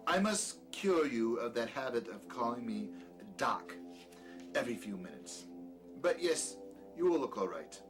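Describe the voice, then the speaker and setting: A man's voice: mid-range voice, big pitch swings, measured pace. A man playing a peculiar, condescending doctor with a dry, sardonic manner in an audio drama, secretly sinister. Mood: impatient, scornful. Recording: music behind the voice.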